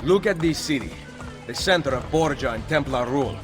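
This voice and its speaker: An actor playing a determined, defiant Italian assassin in a game cutscene, speaking tersely and formally with an Italian accent. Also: male voice, medium pitch, lively intonation, a little fast, lightly acted. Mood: resolute. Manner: firm, terse, formal phrasing. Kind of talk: video game cutscene acting. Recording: game music behind the voice. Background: music.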